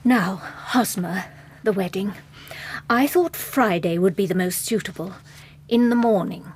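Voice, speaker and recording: An actress playing a middle-aged, domineering Victorian mother, firm and brisk, in a radio drama. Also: mid-range voice, slight background noise, lightly acted. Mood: soothing, managing. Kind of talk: period radio drama acting.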